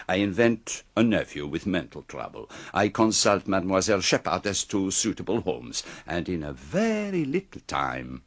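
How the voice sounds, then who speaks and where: An actor playing a precise, theatrical detective in a radio mystery, speaking formally with dramatic pauses. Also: male voice, low voice, big pitch swings, full character voice. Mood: probing, triumphant. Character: precise, theatrical male foreign detective revealing a murderer.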